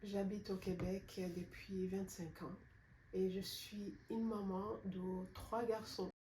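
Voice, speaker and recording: A middle-aged woman from Rwanda, an author giving a personal testimonial in a hesitant, measured, sincere way. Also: mid-range voice, flat delivery, darkish tone. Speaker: author presenting her book. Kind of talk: unscripted personal testimonial to camera. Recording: roomy echo, faint background noise.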